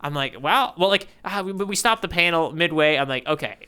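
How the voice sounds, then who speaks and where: A man's voice: a little high, brisk, sharp tone, clear, resonant tone. A man co-hosting a podcast, casually discussing in a musing, playful way and often checking in with "right?". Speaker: podcast co-host.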